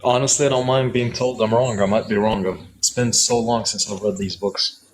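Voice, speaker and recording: A man's voice: mid-range voice, level tone, normal speed, a little hiss or hum, low-quality recording. A man in a live debate, pressing with probing, insistent questions. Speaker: debate participant.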